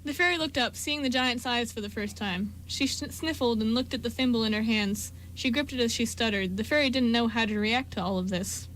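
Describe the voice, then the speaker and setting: A woman with a fairly high voice reads story narration from a script for a radio play. A faint hum sits behind her. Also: brisk, hardly any pauses, fairly bright tone, low-quality recording.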